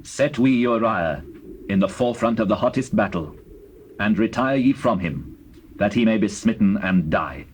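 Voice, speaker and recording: An actor as a commanding British king, expressive range. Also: fairly low pitch, normal speed, lightly acted. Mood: cold, scheming. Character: commanding, scheming biblical king of Israel. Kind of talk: dramatized scripture acting in archaic English. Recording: hissy old cassette tape with faint ambience.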